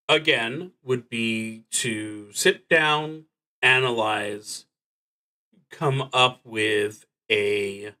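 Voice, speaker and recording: A man streams a solo tabletop game, thinking aloud as he goes. His voice sits at a middle pitch with a bright, forceful edge. He takes his time, halting and deliberate, and drops in dry asides. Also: rough recording. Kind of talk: live play narration, thinking aloud.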